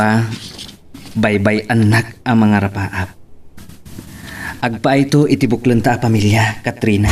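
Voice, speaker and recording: A man's voice: mid-range voice, a little mellow, natural voice. A man playing a hopeful, hardworking young farmer in love in an Ilocano audio drama. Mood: dreamy. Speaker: actor in radio-style drama. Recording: slightly distant, noisy ambient recording.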